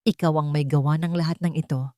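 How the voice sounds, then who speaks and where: A woman voicing a broken, bitter injured husband in an audio drama, halting and stammering. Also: deep voice, fairly even intonation, dark tone, no echo, studio quality, lightly acted. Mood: trembling, hateful.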